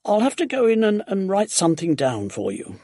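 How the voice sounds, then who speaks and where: Man acting a shy, polite, creaky-voiced storybook gentleman. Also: sits high, wildly swooping pitch, a little fast, no echo, studio quality, voice pushed up, heavily acted. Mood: flustered, helpful. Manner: hesitant, polite, solemn. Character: shy, smitten, earnest gentleman, polite and hesitant. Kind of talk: storybook character voice.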